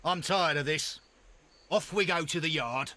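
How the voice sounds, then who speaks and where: Brusque, forceful police inspector. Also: male voice, fairly high pitch, unhurried. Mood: stern. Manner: curt, authoritative. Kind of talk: video game cutscene acting.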